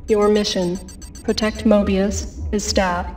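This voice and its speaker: An actress playing an impassive military briefing announcer in a video game, with clipped, impersonal delivery. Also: female voice, mid-range voice, level tone, slow pace, a little mellow, far from the mic, faint music behind, close to an everyday voice. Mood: impassive, official. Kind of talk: scripted game mission briefing.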